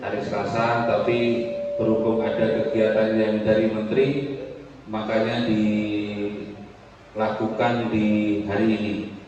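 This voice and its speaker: A man's voice: fairly low pitch, little pitch movement, unhurried, long pauses, dull audio. A man speaking as village head to residents, earnest and persuasive. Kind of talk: speech to villagers. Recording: echoey, distant with noise.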